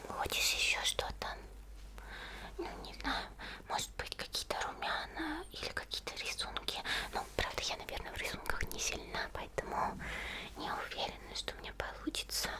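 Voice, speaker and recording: A woman playing a friendly teenage monster girl in an ASMR roleplay, murmuring gently in a soft, breathy whisper. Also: close to an everyday voice. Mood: gentle, attentive. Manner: gentle, unhurried, murmuring to the listener. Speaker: ASMR video creator.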